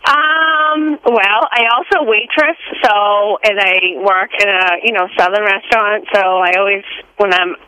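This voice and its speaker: A woman's voice: medium pitch, unhurried, pushed and bright, slight background noise, poor audio. Chatty Boston woman calling in. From Boston South Shore. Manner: chatty, laughs at herself. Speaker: caller. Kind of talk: phone-in chat.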